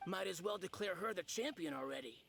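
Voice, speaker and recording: A woman voicing an excitable, gossiping tournament onlooker in a video game. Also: fairly low pitch, brisk, creaky, background noise. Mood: impressed. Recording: loud game music behind the voice.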